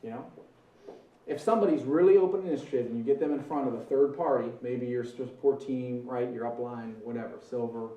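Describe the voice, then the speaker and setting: A man's voice: mid-range voice, roomy sound, far from the mic, muffled recording. A man leading a live sales training, talking casually and quizzing the audience. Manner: energetic, conversational, asks the audience questions. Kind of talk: live training talk to a room. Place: meeting room with an audience.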